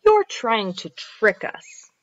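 A doubting young village boy voiced by a woman, blunt and demanding. The storybook boy has a high, animated voice in a dry recording. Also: slow pace, dry recording, muffled recording. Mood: suspicious. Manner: blunt, insistent.

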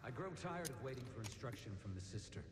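A man's voice: medium pitch, expressive, fast talker, lightly acted. An actor playing an impatient, menacing male enemy warrior in a video game. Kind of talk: scripted video game dialogue. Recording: distant echoey reverb under game noise.

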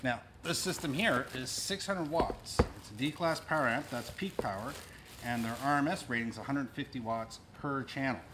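A middle-aged man with an average-pitched voice presents audio gear in a casual unboxing style. He explains things plainly while handling the equipment. The recording is clearly noisy, picked up a few feet from the camera inside a store. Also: moderate pace. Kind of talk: casual unboxing and product demonstration. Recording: noisy room recording with clicks.

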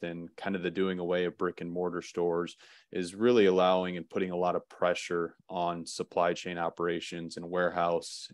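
A man presenting slides in an online webinar, relaxed, with many "um" fillers and restarts. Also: low voice, no echo, clean studio sound.

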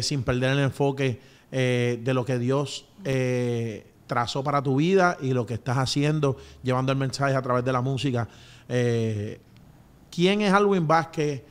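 A middle-aged man with a Puerto Rican accent interviews as a show host, in halting phrases, close to a studio microphone. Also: medium pitch, smooth. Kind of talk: conversational interview. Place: small indoor studio. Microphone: close studio microphone on a boom arm.

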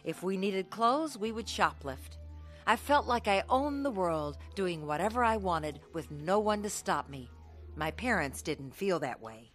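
A woman playing a grown woman looking back on her youth, in measured, scripted radio drama narration. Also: medium pitch, expressive, a bit bright, faint music behind. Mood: reflective. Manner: measured, reflective.

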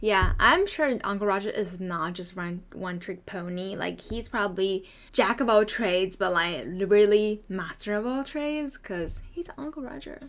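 A woman's voice: medium pitch, measured pace, few pauses, fairly bright tone, soft background music. A young woman vlogging from her bedroom, chatty and bubbly, gushing as she reacts to clips. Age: young adult. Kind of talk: casual reaction commentary. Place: bedroom, indoors.

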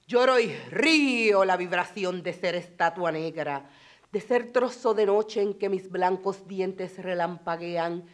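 A woman in her forties with a Puerto Rican accent and Spanish as her first language, reciting poetry dramatically and emphatically with deliberate pauses. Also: fairly low pitch. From Puerto Rico. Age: 40s. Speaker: bilingual special education teacher.

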